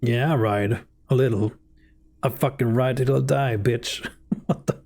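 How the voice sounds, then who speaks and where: A man whose native language is Swedish chats casually while playing a game live. His delivery is slow and warm, and he jokes around relaxedly. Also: medium pitch, creaky. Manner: relaxed and joking, with frequent fillers. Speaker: streamer. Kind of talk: casual live gameplay commentary.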